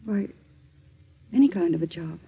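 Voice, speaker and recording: A woman's voice: fairly low pitch, expressive, normal speed, husky, full character voice. An actress playing an ailing, remorseful middle-aged mother in a 1940s radio drama, weary and pleading. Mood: weary regret. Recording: muffled, scratchy 1940s radio broadcast.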